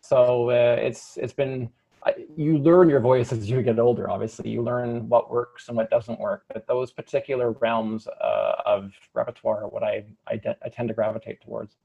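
A young man, an opera tenor, answering interview questions in a thoughtful, halting way with many restarts. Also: mid-range voice, steady tone, vocal fry, dark tone, husky, lo-fi sound. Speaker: opera singer, light lyric tenor. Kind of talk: interview conversation.

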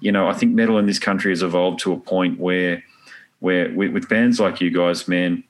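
Man in his forties, very deep voice, blunt, swears a lot. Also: fairly even intonation, normal speed. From Australia. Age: 40s. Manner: blunt, swears freely, repeats words. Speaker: interviewer. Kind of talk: casual interview chat.

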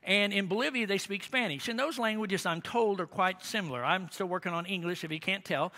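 A man preaching a sermon, telling humorous stories with animated emphasis. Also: sits high, a little fast, in a large hall. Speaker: pastor.

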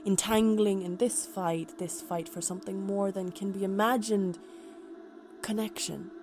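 A woman's voice: medium pitch, fairly even intonation, a little slow, background noise, close to an everyday voice. A woman acting a candid, wry young woman who recalls a doomed romance in poetic, darkly comic radio play narration, confiding and wistful. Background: music.

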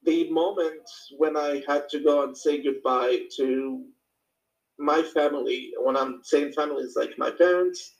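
A man's voice: fairly high pitch, fairly even intonation, a little slow, creaky, poor audio. A man from Morocco with a Moroccan accent, speaking reflectively and hesitantly as he explains his personal experience in an informal talk to a small group.

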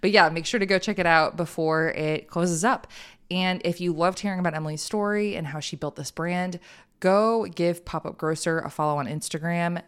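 Low-voiced upbeat Midwestern woman host. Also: clear, resonant tone. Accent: Midwestern American. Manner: upbeat, enthusiastic, laughs often. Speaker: podcast host. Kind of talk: casual interview chat.

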